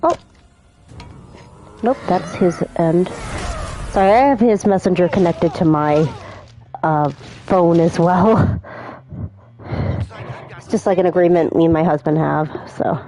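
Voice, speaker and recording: A woman's voice: fairly low pitch, measured pace, pauses often, dark tone. A woman in her thirties hosting a casual let's play, chatty and apologetic, her voice a little off from being sick. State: slightly off voice from illness. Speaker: gaming channel host.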